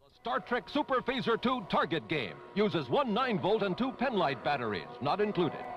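A man's voice: sits high, big pitch swings, normal speed. A man giving an upbeat, punchy voice-over for a 1970s toy commercial. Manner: upbeat salesy, punchy delivery. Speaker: commercial announcer. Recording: old tv ad with music bed.

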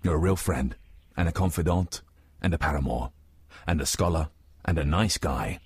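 A man's voice: a bass voice, moderate pace, breathy edge, dry recording, voice pushed down, heavily acted. A man voicing a snobbish, insistent upper-class aristocrat in an improvised comic radio play. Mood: earnest, fond. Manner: pompous, insistent, repetitive.

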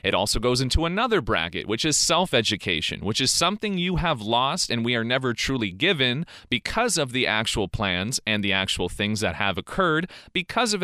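Earnest lively man preaching to listeners. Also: medium pitch, no room sound, studio quality. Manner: earnest, preachy, repeats rhetorical questions to the listener. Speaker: podcast host. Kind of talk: monologue on education and life.

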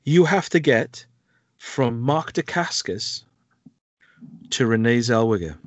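A man's voice: medium pitch, expressive, slow pace, dry recording, muffled recording. A man with a Welsh accent co-hosting a film podcast, chatting casually and hesitantly with lots of fillers. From Wales. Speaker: podcast co-host. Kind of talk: casual chat.

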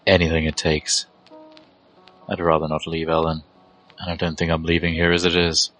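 A man playing a wounded, grieving dwarf in an audio drama, resigned and tender in a measured way. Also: a bass voice, completely flat delivery, a bit bright. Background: music.

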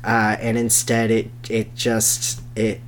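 A man's voice: lowish voice, monotone, slow, slight background noise. A man co-hosting a podcast in casual comedic chat, with a wry, incredulous way of talking. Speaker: podcast co-host.

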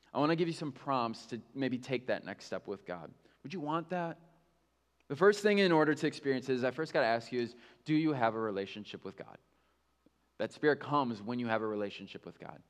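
A man's voice: medium pitch, fairly bright tone, long reverb tail. A male pastor preaching a sermon in a candid, conversational way, with self-mocking asides.

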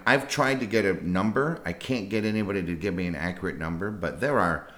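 A speaker chatting casually, chiming in with quick agreement and wry remarks. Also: low voice, fairly bright tone, reverberant. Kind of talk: casual conversation.

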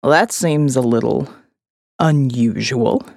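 A woman voicing a dry, sardonic man in an audiobook, slow and deadpan. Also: very deep voice, gravelly, no echo, pitched below the performer's own voice, heavily acted. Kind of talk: audiobook character dialogue.

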